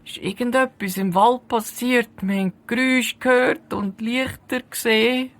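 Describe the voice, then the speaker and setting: A woman's voice: fairly high pitch, fairly even intonation, normal speed, a bit bright. A woman with a Swiss German accent voicing a nervous, cowed seventeen-year-old boy under arrest in an audio drama, timid and defensive. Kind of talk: hobby detective audio drama acting.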